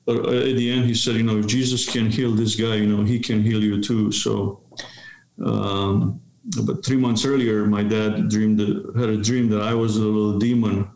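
A man, telling his life story in measured phrases on a video call. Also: on the low side, flat delivery, a little mellow. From Romania. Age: 50s. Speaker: guest, seminary professor and pastor. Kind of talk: autobiographical interview answer. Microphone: webcam video call. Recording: roomy, echoing video-call sound.

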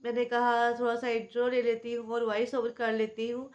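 Hoarse woman with Pakistani Urdu accent. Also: fairly high pitch, monotone, a little fast, dull audio. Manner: chatty, homely, confiding. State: hoarse from a sore throat and cold. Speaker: home cooking vlogger. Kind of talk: casual vlog chat and voice-over. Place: small room at home, indoors.

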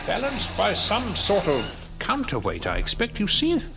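Learned, curious gentleman explorer in vintage radio horror; high, animated, theatrical, heavy music. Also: unhurried, heavily acted. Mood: excited curiosity. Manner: theatrical, exclaiming, hesitant when unnerved. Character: inquisitive, learned gentleman companion exploring a crypt. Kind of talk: vintage radio drama acting. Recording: old radio drama recording, loud music.

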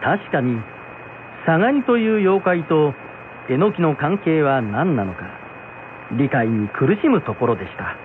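Phone-like recording of a lively male storyteller. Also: a little high, a little slow, a little hiss or hum. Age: middle-aged. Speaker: storyteller and host. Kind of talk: scripted folk tale narration. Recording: muffled phone-like sound, background music.

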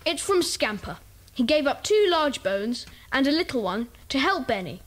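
A woman's voice: sits high, sing-song, normal speed. A woman playing an earnest, plucky schoolboy club leader in a children's audio drama. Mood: pleased.

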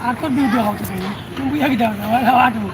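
A man chats casually in a strikingly high voice. He talks extremely fast, sounding distant under loud outdoor noise. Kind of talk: casual off-the-cuff chat. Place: outdoors in a public park. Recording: distant voice in very noisy outdoor recording.

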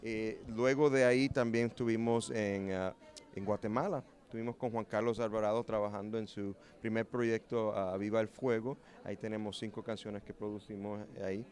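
A man's voice: fairly low pitch, steady tone, normal speed, darkish tone. A man in his forties from New Jersey, a musician and producer answering interview questions earnestly at an expo booth. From New Jersey, USA. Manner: earnest, with frequent hesitations. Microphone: handheld mic.

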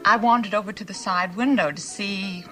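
A woman's voice: medium pitch, steady tone, moderate pace, sharp tone, faint music behind, lightly acted. A woman playing a coy, flirtatious shopkeeper with a scheming streak in a mystery film, giggly and hesitant. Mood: coyly threatening. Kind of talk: television film mystery acting.

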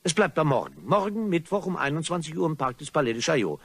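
An actor playing a nervous, stammering blackmailer in a radio play, formal but easily flustered. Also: male voice, on the high side, very animated, fast talker, a bit bright, slightly rough, exaggerated voice. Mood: insistent. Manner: hesitant, stammering, exclamatory, theatrical. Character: flustered male blackmailer, himself being squeezed, nervous and apologetic.

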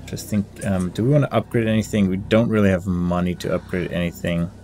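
A man's voice: low-pitched, steady tone, fairly quick, darkish tone, a little hiss or hum. A man giving casual gameplay commentary, thinking aloud and muttering through options as he reads game text. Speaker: gamer hosting a playthrough. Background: game music.

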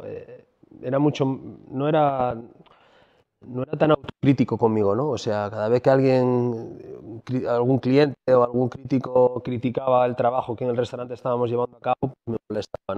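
A man in his forties from Asturias, speaking on a conference stage into a handheld mic, halting and repeating words and ending phrases with a questioning tag. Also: mid-range voice, steady tone, mellow. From Asturias, Spain. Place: large conference hall. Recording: dull sound with long hall reverb.

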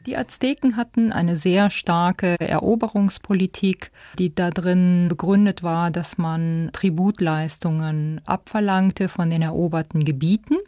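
A woman's voice: lowish voice, steady tone, measured pace, few pauses, warm tone, no room sound, studio quality. A woman speaking as an interviewed expert, explaining in a spontaneous, informative way. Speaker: ethnologist, museum director, interviewed expert.

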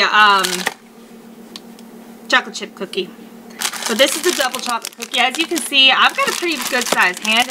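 A woman in her thirties giving a chatty, emphatic food review to camera in a casual unboxing style, recorded on a webcam in a living room. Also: fairly high pitch. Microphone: webcam or laptop mic at arm's length. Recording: faint noise, home webcam sound.

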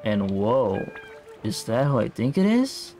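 Guy streaming a game, super slow laid back talk, bit of vocal fry, quiet music under. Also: mid-range voice. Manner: relaxed, reading and reacting aloud. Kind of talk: casual livestream gameplay commentary. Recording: faint game music behind. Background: soft game music.

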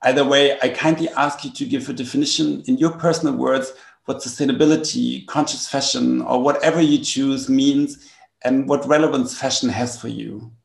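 A man hosting a video call interview, asking prepared questions in a formal, measured way. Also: medium pitch, fairly even intonation, vocal fry. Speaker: host, interviewer. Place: bright room. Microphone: webcam on a video call. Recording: echoey room on a video call.

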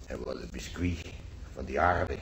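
An actor playing a nostalgic, gruff old war veteran grandfather in a radio drama, telling his memories haltingly, repeating himself in earthy colloquial words. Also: a bass voice, fairly bright tone, heavily acted. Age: older. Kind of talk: radio drama acting, reminiscing monologue. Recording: roomy old radio play, faint noise.

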